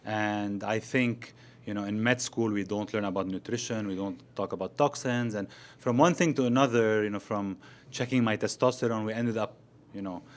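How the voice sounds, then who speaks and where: A man's voice: on the low side, fairly even intonation, moderate pace. A middle-aged man speaking conversationally as a doctor hosting a podcast interview.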